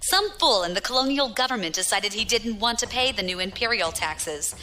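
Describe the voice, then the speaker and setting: A woman's voice: medium pitch, animated, fairly quick, bright, forceful tone. An actress playing a battle-hardened, proud young female pirate captain with a wry storytelling manner in a science fiction audio drama. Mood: scornful.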